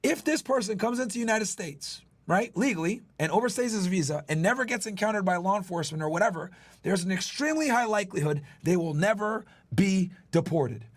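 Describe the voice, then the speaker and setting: A man's voice: high voice, animated, fairly quick. A man hosting a livestream explainer, talking emphatically with casual swearing, repeated points and frequent check-ins with his listeners. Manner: checks in with listeners using "right?", "okay?". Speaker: livestream host, former federal agent.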